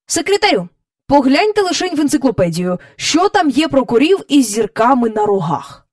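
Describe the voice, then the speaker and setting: A woman's voice: high-pitched, big pitch swings, normal speed, darkish tone, no echo, studio quality, distant mic, voice pushed up. A woman voicing an irritable, self-important male king in a children's story, in a pompous and commanding manner. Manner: pompous, imperious, exclaiming.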